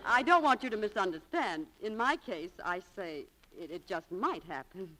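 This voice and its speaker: A woman's voice: mid-range voice, very animated, normal speed, background noise, natural voice. An actress playing a candid, romantic young shopgirl in a 1940s radio drama, breezy and confiding. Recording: vintage 1940s radio recording.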